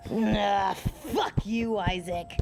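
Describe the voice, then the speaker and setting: A woman's voice: mid-range voice, very slow. A woman playing a stubborn, hot-headed young female werewolf with a defiant, sarcastic manner in a romantic audio roleplay. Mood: grumpy, sarcastic.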